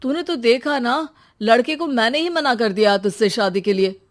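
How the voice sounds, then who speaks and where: A woman's voice: fairly high pitch, lively intonation, normal speed, close to an everyday voice. A woman playing an earnest, trusting young brother in a moral story, with a mild, explanatory manner. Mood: defensive.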